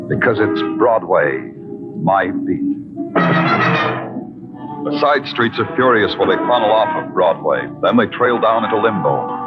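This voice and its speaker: An actor playing a world-weary, hard-boiled New York police detective in a 1940s radio noir, speaking in a deliberate, brooding, poetic way. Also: male voice, fairly low pitch, close to an everyday voice. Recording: dull old radio recording, loud music.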